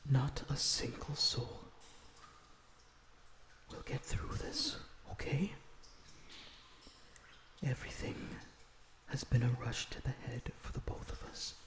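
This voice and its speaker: Casting: male actor as a tender vampire prisoner for audio roleplay, pleading softly and slowly, roomy echo. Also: mid-range voice, natural voice. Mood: concerned, gentle. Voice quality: breathy, often dropping to a whisper. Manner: soothing, pleading, slightly formal phrasing. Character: lonesome, gentle, earnest male vampire prisoner.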